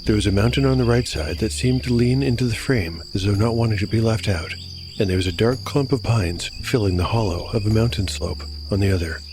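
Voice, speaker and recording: A man narrating a scripted audio drama as game master, with a measured storyteller's delivery. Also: fairly low pitch, noisy background. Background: music.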